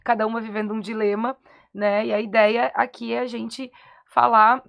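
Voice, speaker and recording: A woman's voice: on the high side, level tone, normal speed, gravelly, fairly bright tone. A Brazilian woman co-hosting a psychology podcast, talking reflectively in a casual conversation. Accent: Brazilian Portuguese. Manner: reflective, affirming with frequent "uhum".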